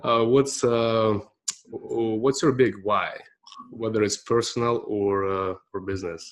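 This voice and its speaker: A man's voice: fairly low pitch, fairly even intonation, takes it slowly. A man hosting a podcast interview, speaking with a non-native English accent, upbeat with short emphatic reactions. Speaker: podcast host.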